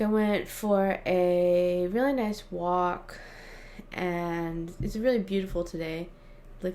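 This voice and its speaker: A woman in her late thirties talking casually to camera in a personal vlog, chatty and laughing often, with frequent fillers. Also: medium pitch. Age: 30s. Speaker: vlogger.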